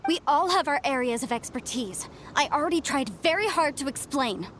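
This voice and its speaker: A woman's voice: sits high, expressive, normal speed, noisy background. An actress playing an earnest, principled young female archaeologist who talks in a defensive, indignant way, in a game cutscene.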